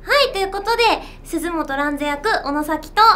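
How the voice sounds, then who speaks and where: A woman's voice: high-pitched, lively intonation, moderate pace, pushed and bright. A young adult woman, a voice actor leading a casual promotional chat. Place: small indoor room.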